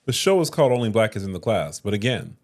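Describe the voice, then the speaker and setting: A man co-hosting a podcast in casual chat, playful, jumping up high when he jokes. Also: fast talker. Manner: playful, animated, swings up high when joking. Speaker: podcast co-host.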